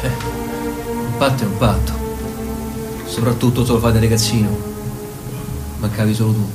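An actor playing a solemn, loyal boyhood friend in a radio play. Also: male voice, on the low side, steady tone, moderate pace, natural voice. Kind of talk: theatrical radio drama acting. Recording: echoey stage, music behind.

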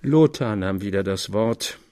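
A man's voice: fairly low pitch, lively intonation, a little slow, mellow, a little hiss or hum. A man narrating a literary tale in a scripted, formal reading style.

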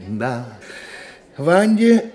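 High, swooping fussy theatre producer. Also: male voice, very animated, drawn-out words, a little mellow, full character voice. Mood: self-justifying. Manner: effusive, repeats himself. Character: fussy, self-serving male theatre producer. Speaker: radio play actor. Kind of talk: theatrical Russian radio play acting.

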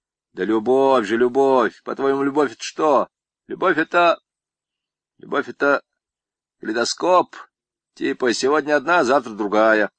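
A man voicing a swaggering young male convict who brags in slangy, drawn-out jabs. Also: mid-range voice, a bit bright, dry recording, pitched above the performer's own voice. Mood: mocking.

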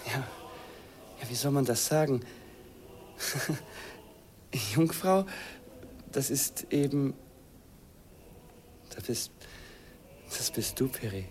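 An actor playing a shy, earnest young student in love, gentle and hesitant, in a 1950s radio play. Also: male voice, on the high side, takes it slowly, long pauses, a little hiss or hum. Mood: bashful, tender. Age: young adult.

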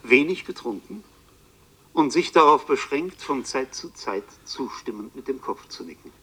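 An actor playing a wry bookseller who narrates a radio play in a dry, literary manner, his voice husky. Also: medium pitch, animated, normal speed, sharp tone. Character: wry male first-person storyteller, a Paris bookseller.

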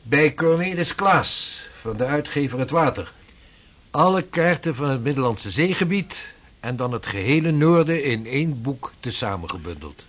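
A driven old-time sea captain who draws maps, formal and measured, kinda husky, radio play. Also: male voice, mid-range voice, big pitch swings, fairly bright tone. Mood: earnest. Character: earnest 16th-century Dutch navigator and mapmaker, driven. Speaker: seafaring cartographer. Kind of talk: radio drama acting.

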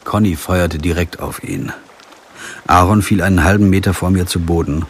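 A man's voice: deep voice, fairly even intonation, normal speed, a little hiss or hum. An actor playing a wry, weary lawyer who narrates his own crime story in a radio drama. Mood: grim. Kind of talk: scripted first-person radio drama narration.

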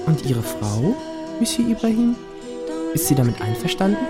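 A young male actor in a radio play playing a cheeky, quick-witted teenage boy with a teasing, questioning manner. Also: sits high, big pitch swings, loud music behind the voice. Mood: curious. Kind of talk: German radio play acting.

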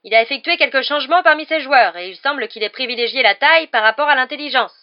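Woman as an excited schoolboy sports commentator, shrill and loud. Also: fast, muffled recording. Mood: proudly announcing. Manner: exclamatory, shouting, openly biased.

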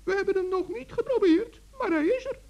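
A man playing a small, excitable old forest gnome in a children's radio play, speaking eagerly and earnestly with little stammers. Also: extremely high pitch, fairly quick, vocal fry, muffled recording. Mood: proud, eager. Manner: eager, stammering, gently scolding.

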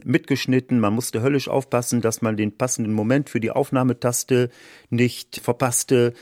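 A man's voice: medium pitch, a little mellow, no room sound, clean studio sound. A man being interviewed, explaining things in a reflective, informative way.

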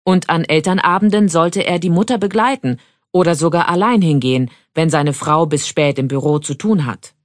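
An actress in her thirties playing a pharmacist guest on a radio show, speaking clearly and matter-of-factly. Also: low-pitched, normal speed, no echo, studio quality, natural voice. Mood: earnest. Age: 30s. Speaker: studio guest. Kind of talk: scripted exam dialogue.

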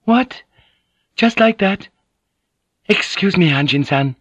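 A man's voice: fairly high pitch, normal speed, dry recording, lo-fi sound, voice pushed up, natural voice. A man voicing a polite, squeamish Japanese noblewoman who speaks courteously and hesitantly in an audiobook. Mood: flustered, appalled.

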